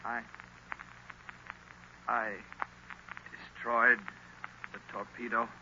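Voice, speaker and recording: An actor playing an anguished young lieutenant struggling under a hypnotic spell, halting, dazed and pleading, in 1930s radio style. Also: male voice, mid-range voice, little pitch movement. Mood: dazed, forced. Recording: noisy, muffled 1930s radio recording.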